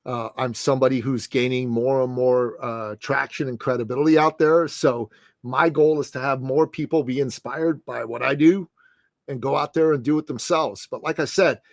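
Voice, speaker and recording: A man's voice: mid-range voice, fairly even intonation, moderate pace, vocal fry, no echo, clean studio sound. A man in his late fifties, a success coach, talking in a podcast interview in an emphatic, punchy way. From Middle East. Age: 50s. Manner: emphatic, punchy, calls host "brother" and "man".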